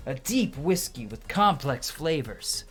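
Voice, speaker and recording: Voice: mid-range voice, very animated, measured pace, fairly bright tone. A game player voicing a suave, courtly bartender with a theatrical, savoring delivery. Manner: theatrical, savoring, ends lines on tag questions. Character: suave, courtly bartender, devoted craftsman and romantic. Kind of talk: amateur game dialogue voice-over. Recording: music behind the voice.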